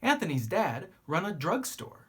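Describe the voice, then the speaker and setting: Storybook narrator for young listeners: lively, swooping pitch, lightly husky tone, teacherly read-aloud delivery. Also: normal speed. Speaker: teacher reading a picture book aloud.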